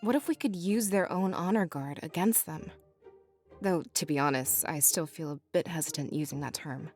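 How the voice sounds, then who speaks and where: A low-pitched, earnest female rebel from a fantasy game, acted by a woman. She sounds dutiful and a little hesitant, like someone who rarely lets herself unwind. Music plays behind her. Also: moderate pace, a little mellow, lightly acted. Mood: grave, bitter. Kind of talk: visual novel voice acting.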